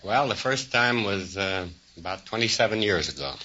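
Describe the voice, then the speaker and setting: A man's voice: fairly low pitch, lively intonation, normal speed, dull audio, background noise. A middle-aged American man from Missouri answering as a quiz contestant, plainspoken and matter-of-fact, on a vintage radio recording. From Moberly, Missouri, USA. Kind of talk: brief answers in an on-air interview.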